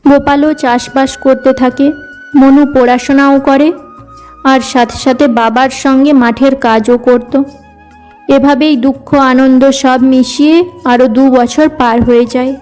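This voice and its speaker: A woman's voice: sits high, fairly even intonation, unhurried, darkish tone, soft background music. A woman narrating a scripted cartoon story in a storybook style.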